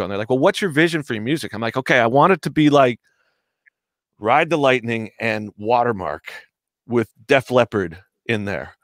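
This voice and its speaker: A man's voice: mid-range voice, fairly bright tone, dry recording. Rambling jokey man on a video call. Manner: rambling, jokey, swears casually. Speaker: guest musician. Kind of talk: casual video-call chat. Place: home studio, indoors. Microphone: headset microphone on video call.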